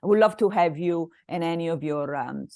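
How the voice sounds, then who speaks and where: A woman from Italy with an Italian accent, speaking haltingly as a coach being interviewed, with pauses and repeated phrases. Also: low voice, darkish tone, no echo, studio quality. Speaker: interview guest, business mastermind coach. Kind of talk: conversational interview.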